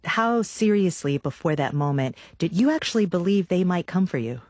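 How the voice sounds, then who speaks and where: A woman hosting a radio interview, delivering scripted introductions and questions in a measured, articulate way. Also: lowish voice, very animated, darkish tone, no room sound, studio quality.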